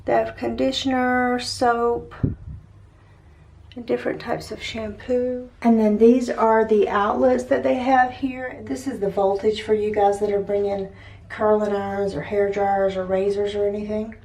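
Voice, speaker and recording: A woman vlogging a walk-through of a hotel suite, chatty and upbeat, her voice a little raspy after an overnight flight, recorded on the filming camera indoors. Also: on the high side, flat delivery, measured pace, darkish tone. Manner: chatty, upbeat, tour-guide pointing out details. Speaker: travel vlogger. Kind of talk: casual hotel tour vlog.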